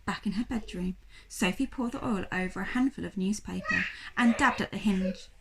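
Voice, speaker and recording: A woman reading a children's story aloud like a primary school teacher, with expressive, dramatic narration. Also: mid-range voice, moderate pace, vocal fry, noisy background.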